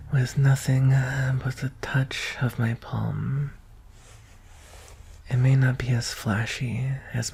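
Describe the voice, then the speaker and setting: A performer voicing a shy, anxious young alien prince in an ASMR roleplay, speaking softly and hesitantly in a breathy, husky half-whisper. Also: mid-range voice, full character voice. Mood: soft, explaining. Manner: hesitant, halting, tender. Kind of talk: ASMR roleplay, soft intimate delivery.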